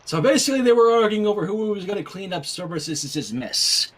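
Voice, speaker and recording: A man with a high, husky voice reads fan fiction and comments along casually. His delivery is conversational and swings widely in pitch. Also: fairly quick. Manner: animated, conversational. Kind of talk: casual commentary and story narration.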